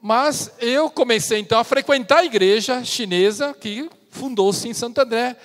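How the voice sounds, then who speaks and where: A man in his fifties from China, giving an earnest personal testimony as a missionary speaker in a church, with pauses and restarts. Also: extremely high pitch. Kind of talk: testimony sermon. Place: church sanctuary. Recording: long church hall reverb.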